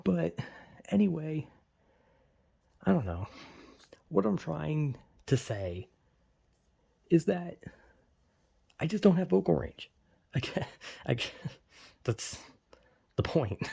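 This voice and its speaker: Gravelly, mellow-voiced young man rambling. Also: a little high. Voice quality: slight lisp. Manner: self-deprecating, filler-heavy with lots of "like". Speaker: vlogger. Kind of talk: casual rambling monologue.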